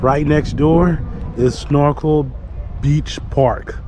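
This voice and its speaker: A man's voice: on the high side, fairly even intonation, roomy sound, noisy background. A man casually narrating a travel vlog as he explores a narrow cave passage, chatty and easygoing. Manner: easygoing, chatty, quick to laugh. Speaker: travel vlogger. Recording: music playing in the background. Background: music from a nearby beach park.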